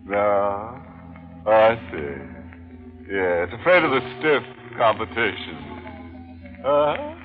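A gleefully macabre radio horror host. His low voice swoops theatrically with mock courtesy and dark teasing. It is a dull old broadcast with music behind it. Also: sing-song, slow pace, long pauses. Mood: gleefully ghoulish. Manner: theatrical, mock-genial, morbid punning. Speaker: host and narrator. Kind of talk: 1940s radio mystery hosting.

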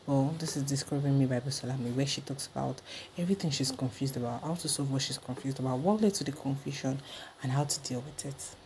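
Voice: medium pitch, fast talker, darkish tone, a little hiss or hum, poor audio. A voice reads a scripted show introduction in a rhetorical, announcing manner.

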